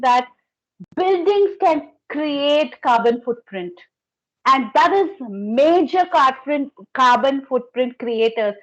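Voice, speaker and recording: A woman with a high voice moderates a panel discussion as its host. Her manner is effusive and affirming, responding to speakers with emphatic approval. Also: rough recording.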